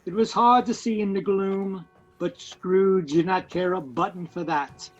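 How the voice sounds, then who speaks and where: An actor as the narrator of an audio play, telling the tale in a dramatic scripted storytelling style. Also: male voice, high-pitched, fairly even intonation, unhurried, highs cut off, soft background music. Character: male storyteller of a Christmas ghost tale.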